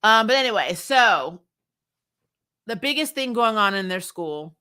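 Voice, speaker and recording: Bright-voiced middle-aged reviewer, blunt and exasperated. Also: medium pitch, animated, unhurried. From grew up on military bases. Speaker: host of a TV recap show. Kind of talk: casual opinionated review chat.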